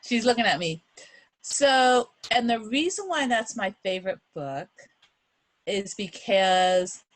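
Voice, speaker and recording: A woman's voice: medium pitch, no room sound. A middle-aged woman talking as a guest reader to children and families, explaining things in an earnest, encouraging and emphatic way.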